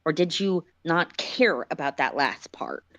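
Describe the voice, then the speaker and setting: A woman voicing a bitter, wounded male alien who interrogates with sharp, accusing demands in a story reading. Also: low voice, expressive, unhurried, no echo, pitched above the performer's own voice, heavily acted. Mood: bitter, demanding.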